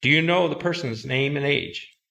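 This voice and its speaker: A man's voice: a little high, normal speed, vocal fry, pushed and bright. A man giving formal, procedural radio announcements as a dispatcher in a scripted drill.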